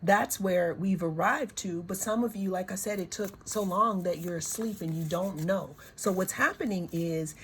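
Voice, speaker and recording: A woman giving a reflective spiritual card reading, her voice hoarse, talking in unhurried, circling phrases that often repeat words. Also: on the low side, a little hiss or hum. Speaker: tarot and oracle card reader.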